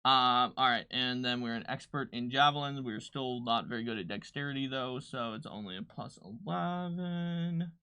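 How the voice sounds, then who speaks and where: Bright-voiced man thinking aloud. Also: medium pitch, moderate pace, clean tone, no echo. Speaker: game designer co-hosting a livestream. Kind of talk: casual tabletop game talk, thinking aloud while filling in a character sheet.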